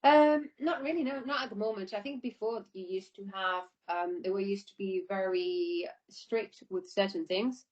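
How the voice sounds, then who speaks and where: Female interviewee, informal and explanatory, circles back over her points, average pitch, muffled lo-fi online call audio. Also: moderate pace, few pauses, a little mellow. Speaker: air traffic controller being interviewed.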